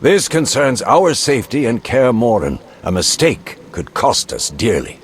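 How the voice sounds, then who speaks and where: A senior monster-hunter mentor with a mid-pitched voice that moves up and down a lot. He is cautious and authoritative, a game character recorded with faint background noise. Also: big pitch swings. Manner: deliberate, authoritative. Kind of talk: video game voice acting.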